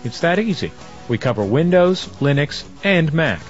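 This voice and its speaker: Man, upbeat salesy promo read, lively pitch, lo-fi sound, faint guitar behind. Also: normal speed. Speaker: promo announcer. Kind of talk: scripted podcast promo. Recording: dull lo-fi audio with guitar music behind.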